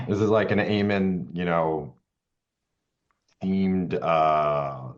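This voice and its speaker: A man chatting casually as a podcast co-host, giving game feedback in a halting, rambling, self-correcting way. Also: deep voice, lo-fi sound. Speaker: podcast co-host and tabletop player.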